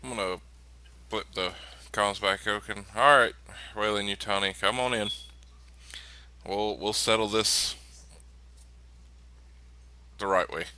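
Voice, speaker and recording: A man's voice: on the low side, slow, pauses often, sharp tone, smooth. A man talking casually as a player planning moves in a tabletop game.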